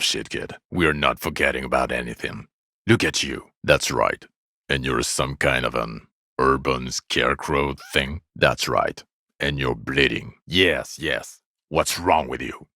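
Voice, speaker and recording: Game role: exasperated, sarcastic cop, male, gravel-throated with heavy fry, contemptuous weary scolding, close dry studio sound. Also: extremely low pitch, sharp tone, no room sound, exaggerated voice. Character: bitter, exasperated, foul-mouthed male police detective, scathingly sarcastic. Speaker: video game voice actor. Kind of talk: video game character dialogue.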